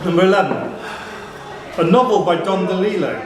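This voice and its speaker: A man's voice: high voice, brisk. A man reading out questions as the host of a live pub quiz. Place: indoor pub quiz venue. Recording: echoey pub room with crowd noise. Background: pub crowd.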